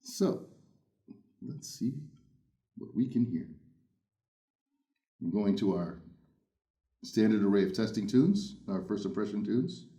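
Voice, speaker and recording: Playful male host with a big voice and lively swings, for casual gear unboxing. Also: on the low side, slow pace, long pauses. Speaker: headphone reviewer. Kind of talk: casual unboxing first impressions.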